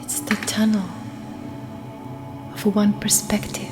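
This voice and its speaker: Female meditation guide: soft breathy whisper, unhurried and soothing, set over prominent ambient music. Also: medium pitch, monotone. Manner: gentle, hushed, soothing, with lingering pauses. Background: immersive ambient meditation music.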